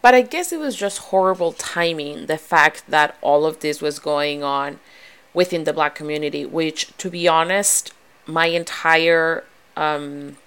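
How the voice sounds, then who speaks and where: Low-voiced woman with strong Ecuadorian accent. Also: smooth. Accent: Ecuadorian Spanish. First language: Spanish. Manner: earnest, frequent restarts and fillers, grows animated. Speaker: vlogger. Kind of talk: personal talk to camera.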